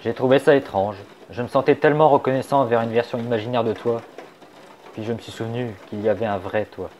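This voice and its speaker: A man's voice: mid-range voice, fairly even intonation, fast talker, dull audio, slight background noise, close to an everyday voice. A man voicing a sly wisecracking fox. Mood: tender. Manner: wry, teasing, turns soft and confiding. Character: sly wisecracking male fox, young adult. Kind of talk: fan dub of a comic.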